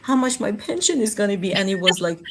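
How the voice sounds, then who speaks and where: A woman's voice: medium pitch, animated, fast talker. A woman, telling her story as an interview guest, talking with many fillers and restarts. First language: Farsi. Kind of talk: casual interview.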